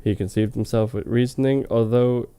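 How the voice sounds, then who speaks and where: Smooth, mellow man reading mock-dramatically. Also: mid-range voice, fairly even intonation, normal speed. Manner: hammy, amused, breaking off to comment. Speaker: reader of fan fiction on a comedy web show. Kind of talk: mock-dramatic reading with casual joking asides.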